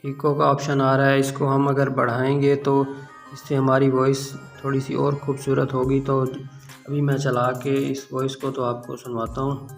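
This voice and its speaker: A man's voice: mid-range voice, completely flat delivery, moderate pace, faint music behind. A man hosting a casual tech app tutorial.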